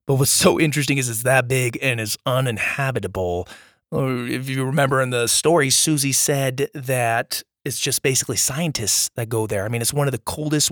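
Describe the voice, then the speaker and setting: A man's voice: medium pitch, no room sound. Man with strained voice, chatty and dry-miked. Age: adult, father of young children. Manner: enthusiastic, chatty, informal. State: strained voice, recovering from nearly losing it. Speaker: host and storyteller of a children's podcast. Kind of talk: casual podcast outro chat to young listeners.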